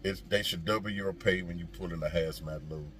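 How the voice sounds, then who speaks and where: A man with a fairly low voice talks off the cuff like a trucker vlogging to his followers. He is folksy and emphatic, circling back to repeat his points. A light layer of background noise sits behind him. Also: steady tone, brisk, a bit bright. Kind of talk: casual opinion monologue to viewers. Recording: noisy ambience behind the voice.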